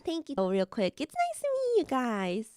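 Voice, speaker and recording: A woman streamer chatting casually with viewers while building models, slightly hoarse from being sick and laughing often. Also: high-pitched, huge pitch swings, normal speed, a little mellow, no room sound, clean studio sound. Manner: giggly, chatty, greets viewers.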